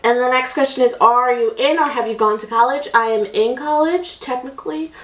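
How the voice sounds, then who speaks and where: A woman's voice: sits high, a little fast, a bit bright. Chatty young woman in an echoey room. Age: 20s. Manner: chatty, rambling, many "like"s and fillers. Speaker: beauty vlogger. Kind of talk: casual storytelling while doing makeup. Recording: echoey room, voice a bit distant.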